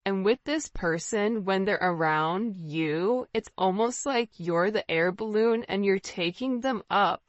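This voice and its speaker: A woman's voice: mid-range voice, measured pace, hardly any pauses, no echo. Woman tarot reader, dry muffled recording. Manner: conversational, often checking in with "right?" and "you know". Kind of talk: informal spiritual tarot reading, speaking directly to the viewer.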